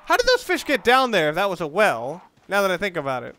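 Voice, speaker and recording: Clear-voiced man, fairly high, rambling gamer. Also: wildly swooping pitch, fast talker, pushed and bright. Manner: rambling, thinking aloud. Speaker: let's play host. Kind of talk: casual gameplay commentary.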